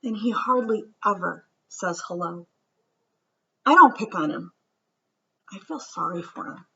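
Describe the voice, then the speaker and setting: A woman reading a picture book aloud as a storyteller for children, with expressive narration and deliberate, dramatic phrasing that drops into hushed softness. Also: medium pitch, creaky, breathy edge, dull audio.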